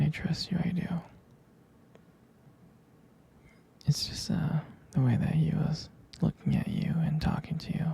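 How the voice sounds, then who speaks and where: A man playing a tender, protective, jealous boyfriend in an intimate whispered ASMR roleplay, breathy and hesitant, with gravelly fry. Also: a little high, warm tone, exaggerated voice. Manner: slow, intimate, hesitant murmuring. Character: tender, protective, jealous young boyfriend comforting his partner.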